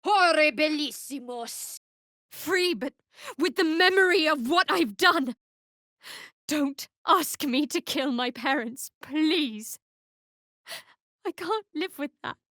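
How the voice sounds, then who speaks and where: A woman's voice: high voice, lively intonation, slow, pauses often, bright, forceful tone, no room sound, clean studio sound, exaggerated voice. A woman playing a guarded, sardonic young female cleric in a fantasy video game, speaking in a cool, wry, clipped manner. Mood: anguished.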